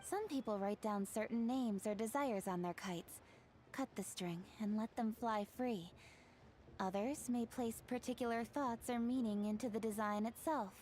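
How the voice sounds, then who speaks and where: A woman voicing a composed young official who explains traditions in a measured, earnest way. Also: on the high side, a little hiss or hum, natural voice. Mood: calm. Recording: game audio with soft music.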